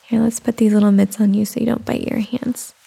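A woman in a soft-spoken roleplay, whispering and cooing in a soothing way. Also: medium pitch, little pitch movement, brisk. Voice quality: whispery, breathy. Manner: cooing, soothing, talks as to an infant. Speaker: roleplay performer.

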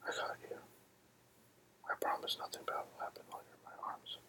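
A roleplay performer in a soft, soothing ASMR whisper, playing a comforting partner and repeating gentle reassurances. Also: a little fast. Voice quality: breathy whisper.

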